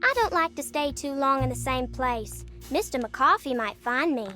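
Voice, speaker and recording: A woman's voice: sits high, expressive, normal speed, pushed and bright, soft background music. A female performer playing an eerie, solemn young girl in a horror game, guarded and knowing in a childlike way. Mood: uneasy, knowing. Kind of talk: cinematic game dialogue acting.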